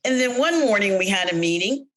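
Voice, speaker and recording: A middle-aged American woman recounting her work experiences in an interview, speaking candidly and growing animated when she quotes others. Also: medium pitch, fairly quick. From United States. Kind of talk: personal account in an interview.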